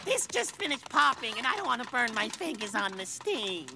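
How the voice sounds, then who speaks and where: Creaky, scheming cartoon marmoset. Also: male voice, very high-pitched, big pitch swings, normal speed, noisy background, heavily acted. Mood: demanding, mock-helpless. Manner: scheming, whiny, exaggerated. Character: sly, lazy, bossy male marmoset who fakes helplessness. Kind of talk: animated cartoon acting. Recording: loud cartoon music behind.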